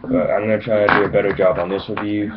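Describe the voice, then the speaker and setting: A man's voice: on the low side, little pitch movement. A seventeen-year-old male with a speech impediment that blurs his words, giving a hesitant, rambling amateur toy review in a casual way. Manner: hesitant, self-conscious, halting phrases. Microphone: home video camera. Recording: muffled lo-fi camera mic, clear noise.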